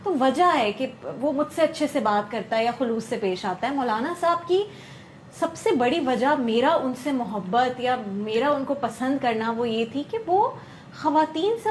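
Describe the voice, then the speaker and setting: A woman's voice: a little high, moderate pace, slight background noise. A woman, interviewing a guest and prompting him by finishing his sentences. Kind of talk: interview questions.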